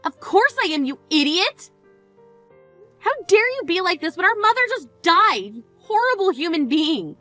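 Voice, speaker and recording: A high-voiced, blunt sister in mourning, played by a woman, scolding her sibling with cutting disbelief. Her tone stays sharp and exasperated, over faint background music. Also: animated, brisk, no echo. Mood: furious. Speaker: sister at a funeral. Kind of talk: scripted dramatic dialogue.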